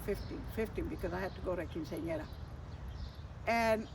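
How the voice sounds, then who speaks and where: An older woman telling personal stories in a casual, animated way, acting out remembered conversations. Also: fairly low pitch, brisk. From Texas, United States. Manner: animated, acts out remembered dialogue, chuckles at her own stories. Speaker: storyteller. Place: outdoors. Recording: distant mic, clearly noisy outdoor ambience.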